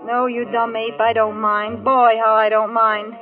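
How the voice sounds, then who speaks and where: A woman's voice: fairly high pitch, little pitch movement, a little slow, lightly acted. An actress playing a plucky, wisecracking young dance-hall woman in American vintage radio thriller style. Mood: wry. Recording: tinny old radio recording with music.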